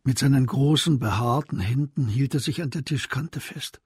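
A man narrating a radio crime drama as a sober storyteller, in a scripted literary style with a very husky voice. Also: mid-range voice, normal speed, darkish tone, no room sound, clean studio sound. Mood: sober, observant. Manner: sober, descriptive.

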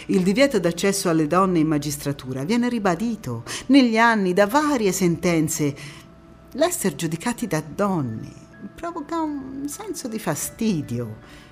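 Young female parliamentarian, theatrical, principled and firm, oratory and quiet recollection, over music. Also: mid-range voice, big pitch swings, unhurried. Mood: reflective, subdued. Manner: impassioned oratory alternating with quiet intimate narration. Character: young female Constituent Assembly deputy, firm, indignant, reflective, ironic. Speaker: stage actress. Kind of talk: theatrical monologue.

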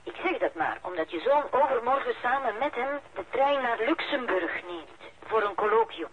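Woman playing a flemish secretary, high voice, careful and confiding, muffled radio drama sound. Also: animated, moderate pace, background noise, natural voice. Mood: confidential. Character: female secretary, guarded but confiding office informant. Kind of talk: radio play acting.

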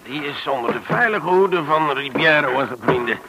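An actor playing a brisk, dryly ironic rescuer in a Dutch radio drama. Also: male voice, a little high, expressive. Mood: wry, brisk. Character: brisk, wry adult male rescuer and adventurer. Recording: noisy old radio drama recording.

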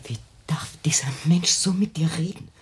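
A woman's voice: fairly low pitch, moderate pace. An actress playing an anxious, controlling older mother in a radio drama, speaking in a stern, agitated, evasive way. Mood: suspicious. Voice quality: husky, breathy. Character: anxious, controlling elderly mother guarding her grown daughter. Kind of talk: German radio drama acting.

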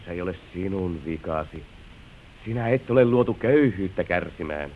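Coaxing lover on a tinny old recording. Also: male voice, low voice, lively intonation, slight background noise. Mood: soothing, coaxing. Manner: coaxing, theatrical. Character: persuasive, impatient lover tempting a married woman. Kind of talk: old Finnish radio drama acting. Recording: old muffled narrow-band radio recording.

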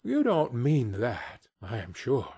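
Good-natured young nephew, acted by a male reader: heartfelt storybook manner, unhurried, very animated, slightly rough-edged. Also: medium pitch, exaggerated voice. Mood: cheerful.

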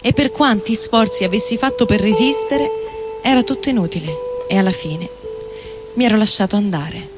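Casting: actor as middle-aged heartbroken man, high voice, anguished stage monologue with guitar backing. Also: moderate pace, natural voice. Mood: wistful, aching. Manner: anguished, dramatic, literary delivery. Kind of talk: theatrical literary monologue reading over music. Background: electric guitar and loops.

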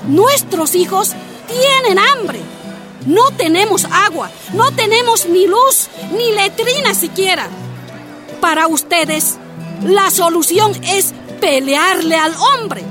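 An actress playing a defiant, plain-spoken miner's wife and union activist in a radio drama, speaking with emphatic indignation. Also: female voice, very high-pitched, animated, slow, heavily acted. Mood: impassioned, indignant. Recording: music and crowd behind.

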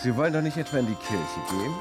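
Actor as a cocky, quick-talking young charmer. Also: male voice, mid-range voice, animated, loud music behind the voice, natural voice. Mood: teasing. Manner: teasing, insistent, self-assured. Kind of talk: radio drama acting.

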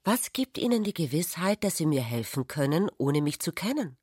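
A woman's voice: sits low, sing-song, moderate pace, darkish tone, breathy edge, dry recording, studio quality, full character voice. A woman playing a spirited, teasing, headstrong wife in a literary radio play, direct and provoking in manner. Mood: challenging.

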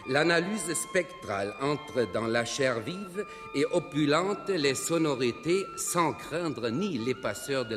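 An actor playing a solemn, florid classical concert announcer in a radio play, speaking in a formal, high-flown manner. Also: male voice, lightly acted. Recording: long hall reverb, music behind. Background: music.

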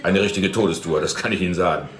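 A man's voice: deep voice, quick pace, fairly bright tone. A man playing a self-important impostor detective who rambles boastfully as a talk show guest in a radio drama. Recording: echoey broadcast heard within the scene.

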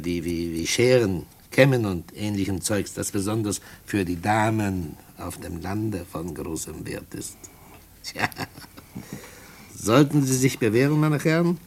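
An actor in a German radio play playing a suave, condescending gang boss, urbane and falsely courteous, with deliberate hesitations. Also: male voice, on the low side.

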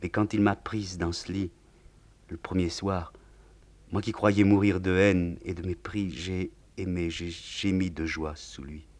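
Guy doing a hushed tormented young woman, low voice. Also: little pitch movement, measured pace. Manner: hushed, anguished.

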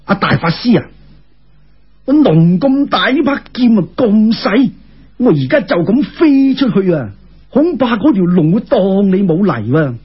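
Man voicing a husky, insistent Cantonese court official. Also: high voice, big pitch swings, measured pace, muffled recording, full character voice. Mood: doubtful. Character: zealous, impetuous male imperial court official.

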